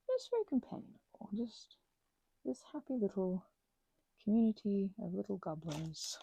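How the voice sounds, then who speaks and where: A woman hosting an ASMR channel who drifts between whispers and soft, self-mocking chatter while she crafts. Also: medium pitch, vocal fry, a little hiss or hum, poor audio. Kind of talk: soft-spoken crafting ramble.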